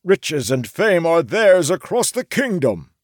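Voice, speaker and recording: A man's voice: a little high, big pitch swings, slow, dry recording, clean studio sound, pitched above the performer's own voice, exaggerated voice. A man voicing a pompous, easily outraged male merchant in an audiobook, with theatrical, grandiose speech. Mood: haughty. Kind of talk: audiobook character acting.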